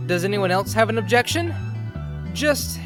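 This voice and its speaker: A man's voice: sits high, lively intonation, normal speed, over music, voice pushed up. A man voicing a nervous, chubby male cheetah judge who speaks formally but hesitantly.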